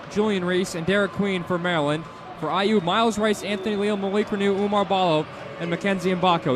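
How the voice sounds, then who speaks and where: High-pitched American man calling basketball over loud crowd. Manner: animated, excitable sportscaster delivery. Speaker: radio play-by-play announcer. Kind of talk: live basketball play-by-play commentary. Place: indoor basketball arena broadcast position. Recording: loud arena crowd noise behind voice.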